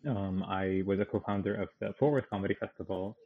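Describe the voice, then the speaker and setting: Gravelly, husky man on a phone-like line. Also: sits low, fairly even intonation, brisk. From Damascus, Syria. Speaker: podcast guest, comedian, artist and writer. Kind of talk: casual interview conversation. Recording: tinny, band-limited phone-like sound.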